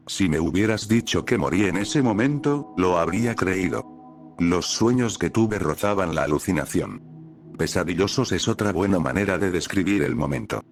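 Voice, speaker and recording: A man reading a scripted horror story as the channel's narrator, with a steady, measured delivery. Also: deep voice.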